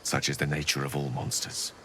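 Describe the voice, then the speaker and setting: A man's voice: a bass voice, fairly quick, background noise. A man voicing an erudite, wordy wizard who speaks wryly and eloquently. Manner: wry, eloquent, expressive. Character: erudite male wizard, wry and verbose.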